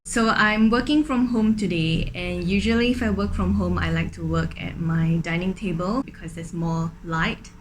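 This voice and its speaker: A woman's voice: on the low side, fairly even intonation, normal speed, hardly any pauses, slight background noise. A young adult woman with a Singaporean accent, talking casually to camera in a vlog at home. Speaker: vlogger. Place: indoors in an open-plan apartment living room and kitchen.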